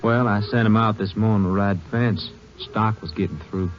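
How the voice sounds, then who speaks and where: A man's voice: on the low side, fairly even intonation, normal speed, lightly acted. An actor playing an amiable, chatty, hesitant ranch foreman in an old-time radio western, with folksy American speech. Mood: amiable, rambling. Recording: muffled vintage radio recording, faint noise.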